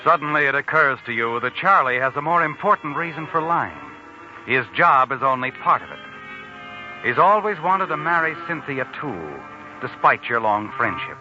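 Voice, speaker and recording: A man acting as the knowing, needling narrator of a 1940s radio thriller, dramatic and deliberate, speaking straight to the protagonist. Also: mid-range voice, lively intonation, close to an everyday voice. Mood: knowing, ominous. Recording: muffled old radio recording, music behind. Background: dramatic music.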